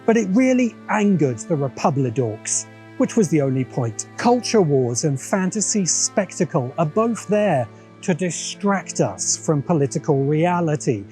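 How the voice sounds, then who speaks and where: Male essay narrator, mellow and a touch high-pitched, sardonic and opinionated, music bed underneath. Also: sing-song, a little slow. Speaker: host of a science fiction commentary show. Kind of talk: scripted video essay narration. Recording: music behind the voice. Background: background music.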